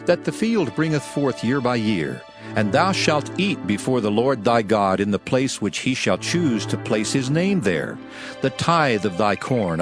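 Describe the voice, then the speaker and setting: A man playing a solemn lawgiver preaching commandments, declaiming in a dramatized scripture reading with music behind him. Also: fairly low pitch. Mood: solemn, instructing. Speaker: scripture narrator.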